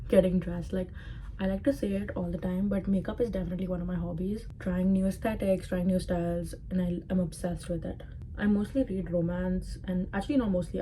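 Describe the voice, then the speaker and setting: A woman's voice: lowish voice, mellow, a little hiss or hum, rough recording. A 21-year-old woman from Dubai with an Indian accent, chatting casually to camera as a vlogger and often filling her speech with "like". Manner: chatty, full of "like" fillers.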